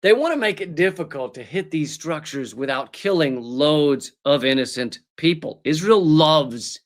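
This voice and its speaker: A man hosting a political comedy show, delivering sarcastic, mocking commentary with shouted bits. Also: fairly high pitch, expressive, unhurried. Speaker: host.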